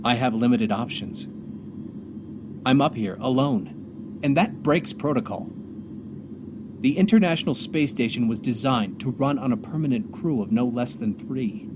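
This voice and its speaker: A man playing a weary, grieving astronaut commander stranded alone, speaking an earnest, halting audio drama monologue. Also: mid-range voice, animated, background noise, natural voice. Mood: worried.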